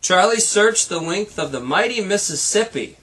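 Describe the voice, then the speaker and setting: A man's voice: high voice, expressive, moderate pace, fairly bright tone, husky. A man reading a children's picture book aloud like a teacher, with rhythmic, dramatic delivery and playful asides.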